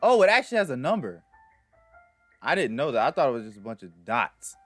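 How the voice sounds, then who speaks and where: A man hosting a reaction video with casual, informal, slangy and expressive commentary. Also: mid-range voice, fairly quick, faint music behind.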